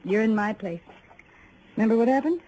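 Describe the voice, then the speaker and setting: A woman's voice: on the high side, lively intonation, brisk, dark tone, slight background noise, lightly acted, low-quality recording. An actress playing a blunt, cynical saloon woman in a 1950s radio western, with a sardonic way of talking. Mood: scornful, blunt. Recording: old radio recording with crowd din.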